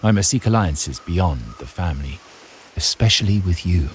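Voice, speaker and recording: A man's voice: sits low, animated, slight background noise. An actor playing a courtly, scheming middle-aged nobleman, speaking formally and pompously in a video game. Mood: grave, guarded. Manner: formal, pompous, deliberate diction. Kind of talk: dramatic video game dialogue. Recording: music faintly behind the voice.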